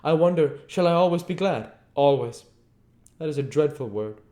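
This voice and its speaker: A man's voice: a little high, animated, normal speed, warm tone, voice pushed up, lightly acted. A man voicing an eloquent, cynical male aristocrat with an impassioned, grandiloquent delivery in a storybook reading. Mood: dramatic, disdainful. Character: Lord Henry, cynical eloquent aristocrat preaching youth and pleasure.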